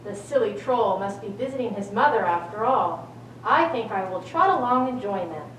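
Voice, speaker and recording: A woman's voice: mid-range voice, lively intonation, normal speed, natural voice. A woman playing a big, confident billy goat in a children's puppet show, with a teasing, swaggering drawl. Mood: teasing, breezy. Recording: roomy, distant mic, faint noise.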